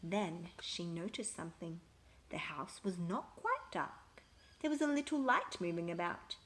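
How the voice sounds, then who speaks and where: A woman reading a children's picture book aloud with expressive, emphatic storytelling. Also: fairly low pitch, unhurried, slight background noise, poor audio.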